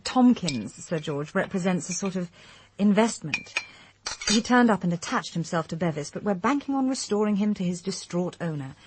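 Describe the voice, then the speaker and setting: Actress as a composed young English detective, faint noise. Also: female voice, on the low side, expressive, normal speed, close to an everyday voice. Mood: composed, firm. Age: young adult. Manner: polite, composed, quietly firm. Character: young, polite, determined female private detective. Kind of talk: British radio drama acting.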